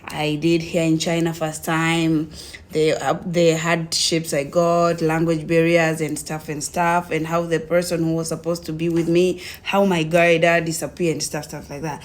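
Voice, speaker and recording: A young woman with a Tanzanian accent tells her own story casually, in an animated way, acting out conversations as she goes. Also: sits low, measured pace. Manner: animated retelling, acts out quoted conversations.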